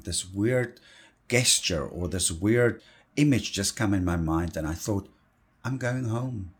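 A man from South Africa whose first language is Afrikaans, speaking English with an Afrikaans accent as an interview guest. He talks earnestly and grows animated when he tells stories. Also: deep voice, breathy edge. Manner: earnest and animated, rising when telling anecdotes. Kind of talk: casual interview chat.

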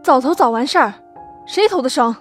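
A woman's voice: high-pitched, lively intonation, a little fast, faint music behind. A woman voicing an impatient, desperate daughter-in-law of thirty-eight in an audio drama, urgent and argumentative. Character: desperate, impatient 38-year-old daughter-in-law, sharp-tempered. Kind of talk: acted audio drama dialogue.

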